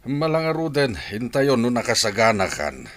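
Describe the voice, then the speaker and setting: A man plays a teasing father in a radio comedy drama. His mid-pitched voice rises and falls in a lively way, with an Ilocano accent. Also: normal speed. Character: teasing father of the wedding couple.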